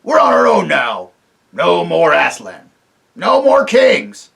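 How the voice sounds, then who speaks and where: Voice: mid-range voice, huge pitch swings, slow pace, vocal fry, fairly bright tone, pitched above the performer's own voice, full character voice. A man voicing a group of mocking male dwarfs, chanting in a storybook reading. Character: crowd of jeering male dwarfs.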